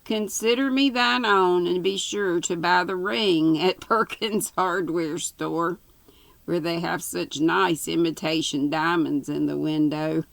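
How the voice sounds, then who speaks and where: A woman's voice: lowish voice, takes it slowly, vocal fry, fairly bright tone, no room sound, heavily acted. A woman voicing a coy young farmer's daughter in love, gushing and demure in a flowery storybook melodrama. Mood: gushing adoration.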